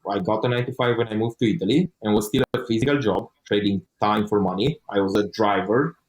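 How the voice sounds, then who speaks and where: A man's voice: fairly low pitch, quick pace, poor audio. A young man telling his own story in an interview, speaking English as a second language, earnest, with frequent restarts and casual swearing. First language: not English. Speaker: interview guest.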